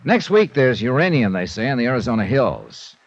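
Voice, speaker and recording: An actor playing a tough, wry, confident investigator with an American accent, punchy and sardonic in 1950s radio style. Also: male voice, medium pitch, very animated, fairly quick. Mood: inviting, dramatic. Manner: punchy, hard-boiled, sardonic. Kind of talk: 1950s radio acting and first-person narration. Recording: dull old radio recording with music.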